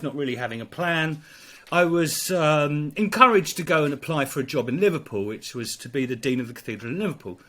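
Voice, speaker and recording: A middle-aged English man, a priest, telling a personal story in conversation, halting with many fillers and restarts. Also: medium pitch, a bit bright. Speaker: priest, guest.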